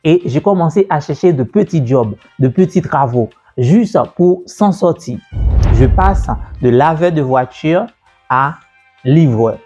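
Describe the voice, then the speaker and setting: A man telling the story of his own business journey in a casual way, repeating himself and pausing with fillers. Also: a little high, lively intonation, mellow, slightly rough. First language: French. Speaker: entrepreneur and business coach. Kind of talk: personal story told to camera. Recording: dull, lo-fi sound with faint music.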